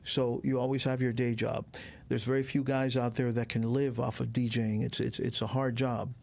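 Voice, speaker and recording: A man in his sixties from Cuba, a guest telling stories in a relaxed, rambling way with many fillers. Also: medium pitch, moderate pace, gravelly. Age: 60s. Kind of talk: casual interview chat.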